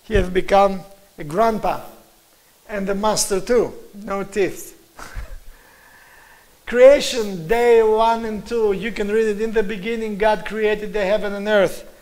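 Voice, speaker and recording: Emphatic Greek-accented man lecturing, high voice. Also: fairly even intonation, slow pace, a little mellow, rough recording. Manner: earnest, emphatic, chuckles at own points. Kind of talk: presentation lecture with slides.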